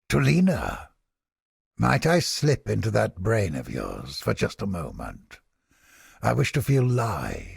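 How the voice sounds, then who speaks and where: A man playing a cynical, sardonic, smugly godless inquisitor scholar in a video game, with a gravelly, husky voice and deliberate, formal, theatrical delivery. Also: mid-range voice, no room sound, studio quality, exaggerated voice. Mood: smooth, courting. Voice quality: gravelly, husky, breathy edge.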